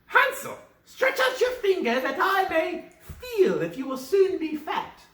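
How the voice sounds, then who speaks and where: A woman voicing a greedy, impatient old witch in a storybook tale, with a shrill, bossy delivery. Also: big pitch swings, a little slow, a bit bright, exaggerated voice. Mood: demanding. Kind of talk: storybook character voice. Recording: roomy sound, distant from mic.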